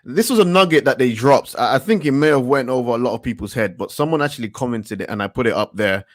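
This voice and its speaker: A man hosting a casual investor livestream, talking haltingly, repeating words with many fillers. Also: mid-range voice, animated, no echo, studio quality. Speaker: livestream host.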